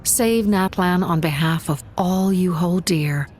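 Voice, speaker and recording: Motherly ancient spirit, unhurried, lowish voice, tender, solemn, video game guardian. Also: a little mellow, a little hiss or hum.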